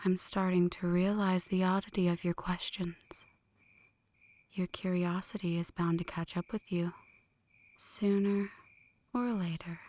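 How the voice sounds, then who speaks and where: A woman playing a flirty, brooding female vampire in an ASMR roleplay, speaking in hushed, breathy whispers with a teasing, poetic delivery. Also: lowish voice, little pitch movement, measured pace, pauses often, natural voice. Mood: teasing, seductive. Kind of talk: ASMR roleplay, scripted dramatic monologue.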